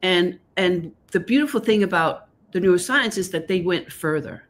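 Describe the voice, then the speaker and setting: A woman's voice: lowish voice, expressive, moderate pace, slight background noise. A woman from Denmark with a Danish accent, a guest author on a live video call, explaining her ideas earnestly and often checking in with "right?". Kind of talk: online live conversation.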